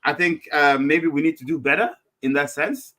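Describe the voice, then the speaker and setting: A man's voice: fairly high pitch, normal speed, sharp tone. A man, debating casually and insistently as a podcast co-host, repeating phrases for emphasis. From Malawi. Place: small room at home, on a video call. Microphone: video call with headphones.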